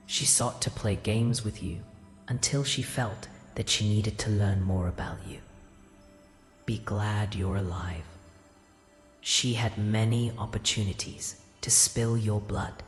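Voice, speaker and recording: A ghostly ancient goddess for a fantasy audio drama, solemn and imperious. Her voice is strikingly deep and unhurried, washed in long cavernous reverb. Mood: calm, ominous. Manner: solemn, formal, deliberate, regal. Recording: cavernous reverb, faint music.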